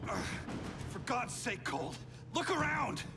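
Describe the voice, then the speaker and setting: Earnest, remorseful male superhero for a game, higher voice with wide swings, music behind. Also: big pitch swings, moderate pace. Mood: exasperated. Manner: earnest, pleading. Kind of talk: video game voice acting.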